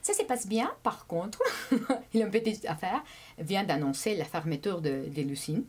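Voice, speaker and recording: A woman whose first language is Spanish, a guest chatting casually in an interview, often pausing, repeating words and laughing. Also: on the low side, animated, breathy edge. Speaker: immigrant guest.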